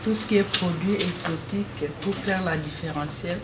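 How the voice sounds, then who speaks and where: Woman in the audience, low voice, echoey room. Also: moderate pace, recorded from a distance. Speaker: audience member. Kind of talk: self-introduction. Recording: roomy room with loud noise.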